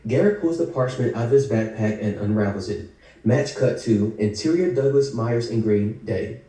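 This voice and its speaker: Male stage-direction narrator, scripted read, very relaxed dark tone, far from the mic. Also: mid-range voice, normal speed. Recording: echoey room, distant video call mic.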